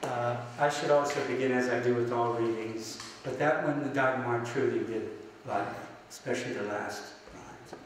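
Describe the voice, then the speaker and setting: A man whose first tongue is Slovak reads his poems in a slow, deliberate way, then chats loosely between them. His voice is heard from some distance in a big room with long, ringing reverb and a faint hiss behind it. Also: medium pitch, steady tone. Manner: slow deliberate poem reading, chatty aside with fillers. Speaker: poet giving a reading. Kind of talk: poetry reading with anecdotes. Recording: echoey hall, distant mic, faint noise.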